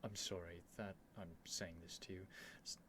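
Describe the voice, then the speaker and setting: A man playing an earnest, nervous young prince devoted to a dragon, in a halting, emotional ASMR roleplay addressed to the listener. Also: sits low, little pitch movement, pushed and bright, slight background noise. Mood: pleading, resigned. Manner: halting, stammering, breathy sighs and whispers, emotional.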